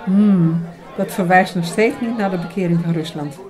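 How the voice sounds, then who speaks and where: A woman's voice: fairly low pitch, moderate pace, natural voice. Woman acting an inquisitive woman amid busy chatter. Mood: sceptical, curious. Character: inquisitive, sharp-minded female companion of a priest. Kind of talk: Dutch radio drama dialogue. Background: busy restaurant crowd.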